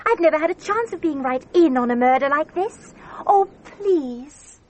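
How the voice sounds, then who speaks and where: High-voiced, pert young lady. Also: animated, a little mellow, muffled recording, a little hiss or hum. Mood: eager. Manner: teasing, brisk. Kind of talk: radio drama acting.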